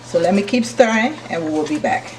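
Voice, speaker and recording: A woman from Liberia with a Liberian accent, calmly explaining each step of a recipe while cooking in her home kitchen. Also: a little high, brisk. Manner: calm, step-by-step instructions while stirring. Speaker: cooking channel host. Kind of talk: casual cooking demonstration. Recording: distant mic with frying noise. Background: sizzling pot and stirring.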